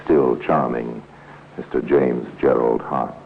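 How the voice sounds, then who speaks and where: A man narrating a 1950s radio crime drama in a grave, measured, deliberate manner. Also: a bass voice, fairly even intonation, gravelly, heavily acted. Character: solemn storyteller narrating a police case. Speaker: narrator and host. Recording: tinny, noisy 1950s radio recording.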